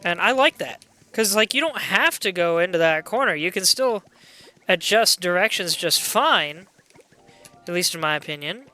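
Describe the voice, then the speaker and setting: A young adult game streamer with a loud voice, chatting casually and excitably while playing and greeting viewers. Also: mid-range voice, moderate pace, soft background music. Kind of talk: casual live gameplay chat.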